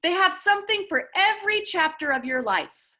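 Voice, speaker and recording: An excitable schoolboy played by a woman reader, pitched high and bright. He is eager and slangy, exclaiming in big swoops as a storybook character who loves to brag. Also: moderate pace, full character voice. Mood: excited. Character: excitable, bragging middle school boy, super enthusiastic.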